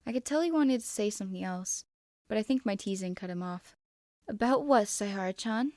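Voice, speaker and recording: A woman reading fan fiction aloud as expressive first-person narration. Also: medium pitch, fairly quick, no echo, studio quality.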